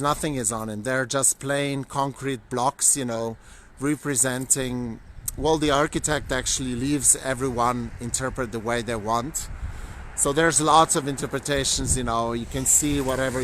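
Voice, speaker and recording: A Slovenian man with a Slovenian accent guiding a city tour from a car, chatty and loose, with many fillers and hesitations. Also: medium pitch. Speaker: tour guide. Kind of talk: live-streamed driving city tour. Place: inside a car, later outdoors on a city street. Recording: road and traffic noise.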